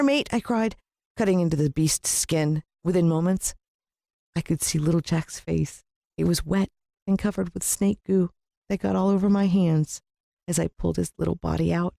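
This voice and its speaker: Audiobook narrator: American woman, expressive and suspenseful storytelling, measured pauses, bone-dry studio-like sound. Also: sits low, gravelly, dry recording. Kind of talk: dramatic scripted storybook narration.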